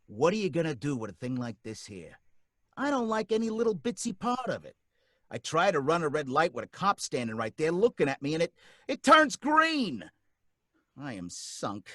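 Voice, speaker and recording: A man's voice: a little high, wildly swooping pitch, moderate pace, pushed and bright, no room sound, studio quality, exaggerated voice. An actor playing a nervous working-class cab driver who narrates his own story, rambling in folksy street talk. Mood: scared. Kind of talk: radio drama acting.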